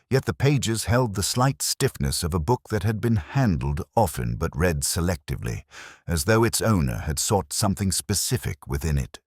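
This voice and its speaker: A man playing a contemplative, brooding police detective, narrating a mystery audio drama in the first person with measured, solemn, literary delivery. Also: low voice, no room sound, studio quality. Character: brooding, contemplative male police detective recounting a murder case.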